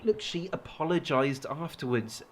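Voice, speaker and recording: A man's voice: fairly high pitch, expressive, normal speed, slight background noise, close to an everyday voice. A man acting in an audio drama as a defensive, anxious victim of a controlling partner, hesitant and earnest. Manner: hesitant, earnest, self-justifying.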